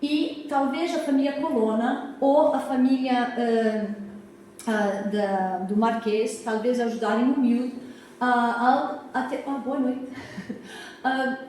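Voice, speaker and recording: American woman historian, Portuguese accent, English her first language, chatty public lecture, dark mellow voice, recorded far off in a very echoey hall. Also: a little high, fairly even intonation, slow pace, a little hiss or hum. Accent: Portuguese (Azorean family), American English. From United States, Massachusetts. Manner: warm, chatty, asks the audience questions, frequent "tá?" check-ins. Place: hall of a cultural society.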